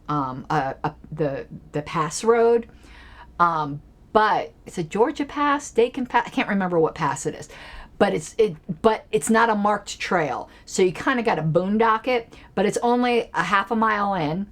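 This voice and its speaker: A woman streaming a casual political commentary show from her basement, talking in a rambling, chatty way with lots of fillers and restarts. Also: lowish voice. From Colorado. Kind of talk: casual solo livestream chat. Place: home basement.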